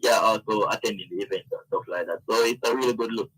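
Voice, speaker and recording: A man's voice: lowish voice, fast talker, a bit bright, breathy edge, rough recording. A man talking casually as an interview guest, often dropping in "you know". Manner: conversational, frequent "you know". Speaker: interview guest, event organiser.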